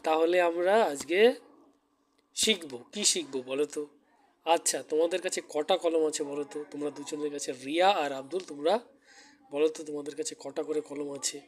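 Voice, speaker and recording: A man with a somewhat high voice gives a primary school lesson. He talks directly to the children, puts simple questions to them and repeats key words as he explains. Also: normal speed, a little hiss or hum. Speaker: maths teacher giving a demo class.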